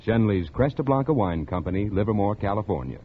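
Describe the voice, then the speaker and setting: A man announcing a 1940s radio advertisement in a formal, measured, grandiose manner. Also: on the low side, lively intonation, slight background noise. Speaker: commercial announcer. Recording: dull vintage radio broadcast recording.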